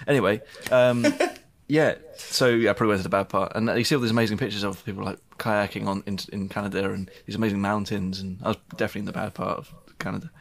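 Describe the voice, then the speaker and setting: A man from Britain hosting a podcast, talking quickly in a low, gravelly voice. He chats casually and rambles, filling gaps with ums and poking fun at himself. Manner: chatty, rambling, self-deprecating, with frequent fillers. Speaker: podcast host. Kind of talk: casual chat.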